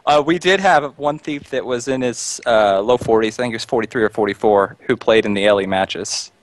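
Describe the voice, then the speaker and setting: A man's voice: medium pitch, fairly quick. A man guesting as a team coach, answering questions in a hesitant way with frequent "uh"s. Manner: hesitant, starts with "uh". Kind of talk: casual interview chat.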